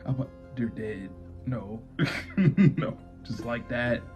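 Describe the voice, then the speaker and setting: A man's voice: mid-range voice, steady tone, takes it slowly, soft background music. A man giving slangy, laid-back commentary in a casual reaction chat. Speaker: anime reaction host.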